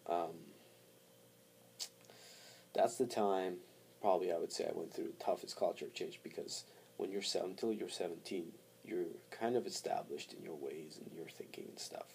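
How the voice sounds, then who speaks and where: A man talking casually to camera as a vlogger, halting as he thinks aloud. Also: low voice, creaky, a little mellow, slight background noise, low-quality recording. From born in Montreal, Canada, raised in Armenia from four to seventeen. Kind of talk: casual personal talk to camera.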